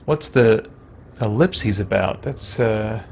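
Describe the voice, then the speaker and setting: A man's voice: lowish voice, takes it slowly, vocal fry, noisy background. A man giving casual gameplay commentary as a streamer, musing to himself as he goes. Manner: thinking aloud, musing to himself. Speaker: game streamer.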